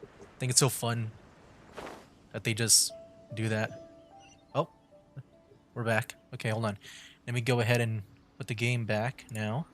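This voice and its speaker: A man's voice: medium pitch, little pitch movement, measured pace, long pauses, a bit bright, faint music behind. A man giving casual, rambling commentary on a game livestream, often repeating himself as he chats. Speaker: livestreaming VTuber gamer.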